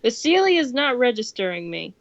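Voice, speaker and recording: A woman's voice: on the high side, sing-song, fairly quick, no echo, muffled recording. A young woman streaming a game, chatting casually and exclaiming readily as she reacts to play. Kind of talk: casual co-op gameplay chat.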